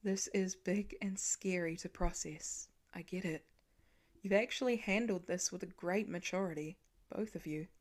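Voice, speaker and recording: A woman voicing a warm, wry, reassuring father in a storybook reading, talking gently. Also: lowish voice, normal speed, close to an everyday voice. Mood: tender.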